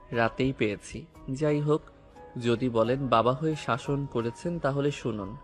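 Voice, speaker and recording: A man's voice: mid-range voice, close to an everyday voice. A man playing an angry, protective young husband and police officer, commanding and confrontational, in an audio romance drama. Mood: protective anger.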